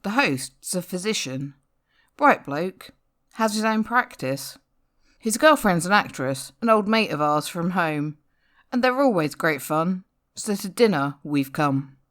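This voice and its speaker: A woman reading a comic narrative poem aloud in a wry, theatrical, expressive way. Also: lowish voice, measured pace. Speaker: poetry reader. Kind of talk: dramatic spoken-word poem reading, rhyming storytelling.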